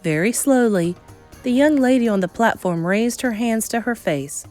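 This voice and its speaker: A woman reading a children's novel aloud as dramatic narration, with suspenseful emphasis and deliberate pauses. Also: a little high, animated, a little mellow, faint music behind. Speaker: storybook reader.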